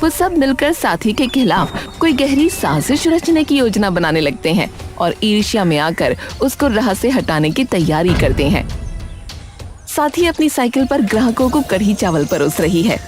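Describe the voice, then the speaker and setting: A woman's voice: medium pitch, big pitch swings, normal speed, music in the background. A woman delivering scripted narration for a cartoon story.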